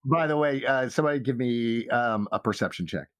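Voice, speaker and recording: A man's voice: medium pitch, a little fast. A man speaking as game master of a tabletop role-playing show, in casual narration.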